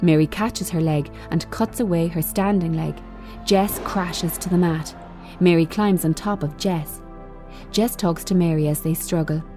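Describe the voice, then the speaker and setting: A woman reading screenplay directions as scripted narration, in measured, short phrases. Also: lowish voice, dark tone, faint music behind. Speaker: screenplay reader.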